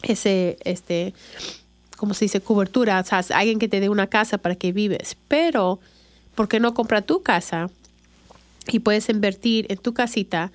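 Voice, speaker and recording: A woman's voice: mid-range voice, measured pace, no echo. Chatty woman radio host. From Chicago, USA. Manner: chatty, with many fillers and restarts. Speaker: radio show host, real estate agent. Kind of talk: casual radio talk.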